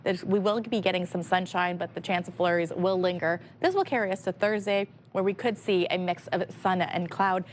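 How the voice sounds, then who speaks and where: A woman's voice: lowish voice, steady tone, a little fast, hardly any pauses, slight background noise. A woman presenting a live television weather forecast in a conversational broadcast style.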